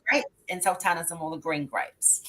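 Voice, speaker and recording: A woman's voice: fairly low pitch, fairly quick, fairly bright tone, poor audio. Chatty woman in her forties. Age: 40s. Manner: chatty, self-deprecating, laughs easily. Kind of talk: casual chat.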